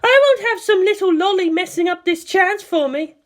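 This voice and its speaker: A shrill teenage older sister, played by a woman reader, high and swinging in pitch. She is image-conscious, excitable and strained, a story character always close to a screech. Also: moderate pace. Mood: screeching, furious.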